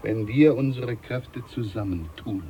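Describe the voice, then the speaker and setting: A man's voice: fairly low pitch, measured pace, warm tone, slight background noise, rough recording. A man playing a jovial, scheming older ship's doctor in a German radio play, pompous, overfamiliar and emphatic. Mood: confiding, self-important.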